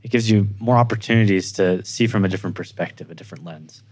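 A man's voice: fairly low pitch, quick pace, a little mellow. A man hosting a podcast in a conversational interviewing style, affirming and checking in with his listeners as he goes. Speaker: podcast host.

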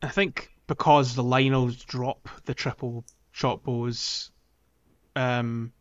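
A man speaks as a podcast co-host in loose, casual gaming chat. He sits in a middling register and often feels his way through a thought out loud, hesitating as he goes. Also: medium pitch, flat delivery, slow pace, lo-fi sound.

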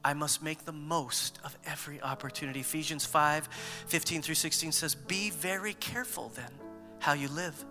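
A man in his fifties preaching a sermon as a pastor, conversational and animated, mixing scripture with humor. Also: a little high, moderate pace, in a large hall, over music.

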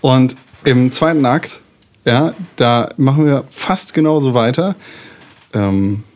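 A man's voice: medium pitch, takes it slowly, darkish tone. A man chatting casually and narrating as a player and host of a tabletop role-play game.

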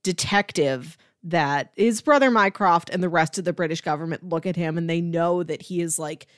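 Lowish-voiced woman co-host, dry close sound. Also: moderate pace, no room sound. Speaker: podcast co-host. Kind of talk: casual podcast chat.

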